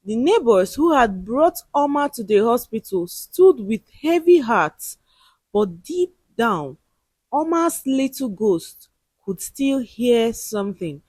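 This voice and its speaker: Slow, animated woman telling a dramatic story. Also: a little high, slightly rough, no room sound. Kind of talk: dramatic scripted story narration.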